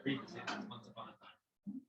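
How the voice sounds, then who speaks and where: A man's voice: medium pitch, expressive, brisk, lo-fi sound, very noisy. A man leading a class as teacher, coaxing students with questions in interactive classroom talk. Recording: echoey room, distant microphone.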